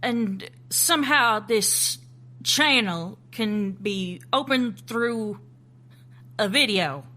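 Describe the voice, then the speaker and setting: An actress playing a weary, skeptical female FBI agent in an audio drama, speaking haltingly and with open feeling. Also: mid-range voice, bright, forceful tone. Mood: skeptical, exasperated.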